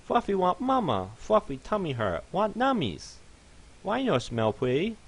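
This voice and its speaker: A tiny whimpering female pet creature voiced by a man babbles in broken baby talk, her voice squeaking far above a normal speaking pitch. She swoops wildly up and down, high and pleading, like a small child in a storybook gone dark. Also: huge pitch swings, measured pace, slightly rough, full character voice. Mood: terrified.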